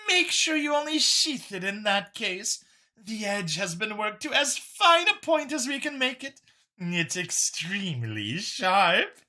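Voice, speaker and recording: A narrator voicing a brisk, matter-of-fact female alien researcher who gives precise, formal instructions in an audiobook story. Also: medium pitch, sing-song, pushed and bright, pitched above the performer's own voice, heavily acted. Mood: explaining.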